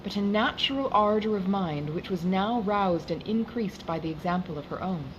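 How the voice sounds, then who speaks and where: A woman reading a classic novel aloud as an audiobook, with formal, expressive phrasing. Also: medium pitch, normal speed, lo-fi sound, noisy background. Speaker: audiobook reader.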